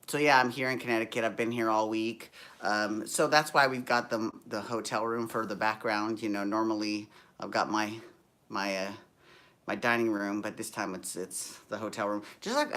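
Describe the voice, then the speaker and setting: A man's voice, worn low and tired after a day of performing, carries through a hotel room. He chats in a sassy, rambling way, drifting into side stories and asides, with a pitch that sits in the middle for a man. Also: pushed and bright. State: tired, deepened voice. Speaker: show host. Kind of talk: casual chatty recap vlog.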